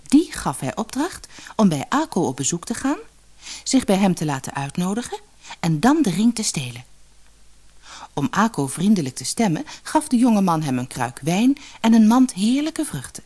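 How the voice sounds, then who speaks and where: A woman reading a fairy tale as an audiobook storyteller, expressive and measured. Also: mid-range voice, slightly rough. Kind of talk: scripted fairy-tale narration.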